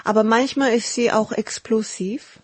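A young woman singer speaks with a slightly husky voice in a dry, close recording. She answers in a halting, thoughtful way, pausing on fillers and correcting herself as she goes. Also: fairly high pitch, steady tone, dry recording. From South Korea. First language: Japanese. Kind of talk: interview answers.

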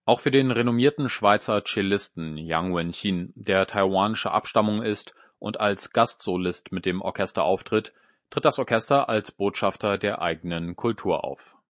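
Very deep-voiced man narrating a radio report. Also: normal speed, fairly bright tone, no room sound, highs cut off. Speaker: radio culture programme presenter.

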